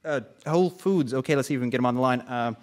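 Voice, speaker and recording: A man playing a flustered, apologetic director who haltingly delivers breaking news in a live radio drama. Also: medium pitch, lightly acted.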